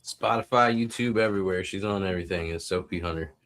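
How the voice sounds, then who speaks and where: A man's voice: deep voice, quick pace, a bit bright. A man co-hosting a podcast and talking casually. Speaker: podcast co-host. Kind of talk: casual chat.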